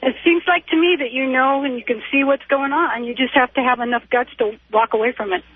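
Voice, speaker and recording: A woman gives opinionated advice as a radio caller. She is emphatic and insistent, with a high, rough-edged voice. The sound is a narrow telephone line. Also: fairly quick, dry recording. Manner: emphatic, insistent, repeats words.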